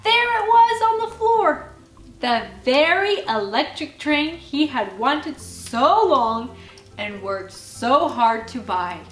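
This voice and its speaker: Very animated, high-voiced woman storyteller. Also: takes it slowly. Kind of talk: expressive scripted bedtime story narration. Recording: roomy sound with faint music behind.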